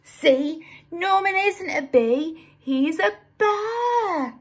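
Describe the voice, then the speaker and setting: Woman voicing a high-pitched, insistent clever little bee. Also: animated, drawn-out words, full character voice.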